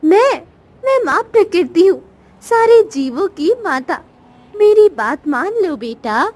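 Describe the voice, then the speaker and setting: A woman's voice: very high voice, big pitch swings, slow pace, darkish tone, full character voice. A woman voicing Mother Nature, a stern yet motherly goddess, in a cartoon moral story with admonishing, maternal authority. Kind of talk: dramatic Hindi cartoon story dialogue.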